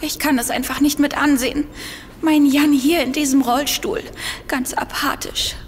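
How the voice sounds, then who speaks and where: An actress playing the hero's devoted partner in a German audio drama, anxious and emotional. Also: female voice, high-pitched, fairly even intonation, normal speed, long reverb tail, a little hiss or hum, lightly acted.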